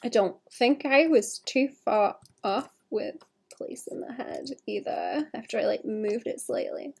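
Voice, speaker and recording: A young woman with a gravelly, hoarse voice chats casually while sketching on a livestream, often correcting herself and softly laughing. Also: fairly high pitch, unhurried. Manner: chatty, self-correcting, many "like"s and soft laughs. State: hoarse, suppressing a cough. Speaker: digital artist streaming a commission. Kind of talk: casual thinking-aloud commentary while sketching.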